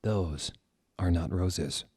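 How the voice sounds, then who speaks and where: A man's voice: deep voice, extremely slow, mellow, breathy edge, dry recording, studio quality, full character voice. Man playing a brooding, self-serious wizard. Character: brooding, touchy male wizard in a goth parody.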